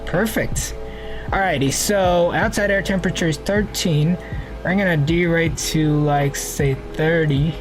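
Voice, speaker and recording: A man's voice: on the high side, unhurried, poor audio. A man hosting a flight simulator live stream in a casual, chatty way, thinking aloud as he sets up the cockpit and greeting his viewers. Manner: greets and thanks viewers warmly. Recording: music playing under the voice.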